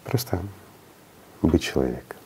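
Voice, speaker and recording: A man's voice: very deep voice, very animated, a little slow, dark tone, a little hiss or hum. A middle-aged man in a studio, speaking earnestly and preaching with rhetorical questions. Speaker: spiritual speaker interviewed in studio. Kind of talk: reflective studio talk.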